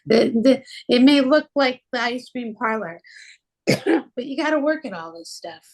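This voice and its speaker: A woman with a raspy, rough voice that sits a little higher than most. She hosts a casual podcast and talks in a loose, rambling way, circling back and restarting her sentences. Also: unhurried, darkish tone, dry recording. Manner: rambling, with frequent laughs and restarts. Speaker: podcast host. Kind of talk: casual conversational podcast chat.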